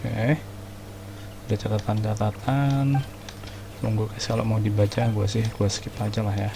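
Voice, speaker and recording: A man's voice: on the low side, level tone, moderate pace, vocal fry, noisy background. A man with an Indonesian accent giving casual, slangy, offhand commentary as he plays a game. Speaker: gaming streamer. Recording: game music behind the voice.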